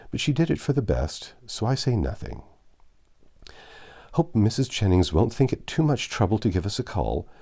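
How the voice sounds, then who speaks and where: A man's voice: deep voice, mellow, heavily acted. A man voicing an effusive, ingratiating young woman's letter in an audiobook, in a gushing, deferential manner. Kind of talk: audiobook letter read in character.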